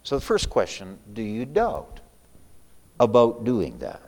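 A middle-aged pastor preaching a sermon, repeating his key questions and moving between loud emphasis and quiet asides. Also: on the low side, huge pitch swings, measured pace.

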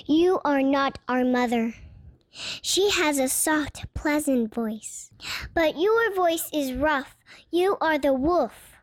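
A woman's voice: sits high, expressive, slow, no echo. A woman voicing wary, dutiful little goat kids in a storybook, childlike and earnest. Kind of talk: storybook character voice.

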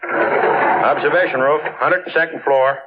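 A man playing a chatty, brisk elevator operator in 1940s radio acting style. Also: a little high, fairly even intonation, lightly acted. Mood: calling out. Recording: muffled, noisy 1940s radio recording.

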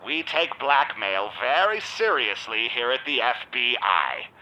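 A man's voice: mid-range voice, big pitch swings, a little slow, pushed and bright, slight background noise, heavily acted, low-quality recording. An actor playing a shady, smug vampire businessman who owns a club, with suave, theatrical delivery in an audio drama. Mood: falsely sympathetic. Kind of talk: audio drama narration and dialogue.

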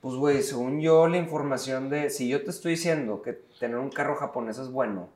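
A man's voice: medium pitch, fairly quick, darkish tone, echoey room. A man with a Mexican Spanish accent, co-hosting a podcast, joking and sarcastic in a slangy casual chat with friends. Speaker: podcast co-host. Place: studio bar set.

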